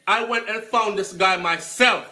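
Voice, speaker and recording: A man's voice: sits high, a little slow, sharp tone. A man from Jamaica with a Jamaican accent telling a personal story emphatically, slipping into patois and swearing freely. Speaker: storyteller recounting own life. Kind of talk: animated personal storytelling to camera.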